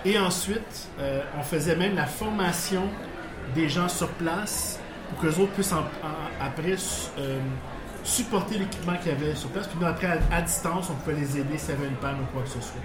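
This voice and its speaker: A man's voice: a little high, moderate pace, music in the background. A man with a Quebec French accent, telling work stories in a rambling way with many restarts and chuckles, at a bar in front of a live audience. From Portuguese background, living in Quebec. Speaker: guest. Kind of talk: casual storytelling interview. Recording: echoey bar with background noise.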